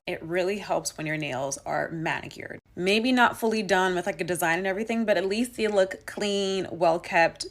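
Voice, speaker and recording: A woman talking through a numbered list of grooming tips in a relaxed, conversational way. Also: on the low side. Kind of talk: listicle beauty vlog.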